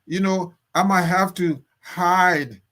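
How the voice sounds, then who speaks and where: A man's voice: high-pitched, steady tone, takes it slowly, a bit bright. A man with a Liberian accent giving impassioned political commentary as a guest, indignant and emphatic, repeating his phrases for force.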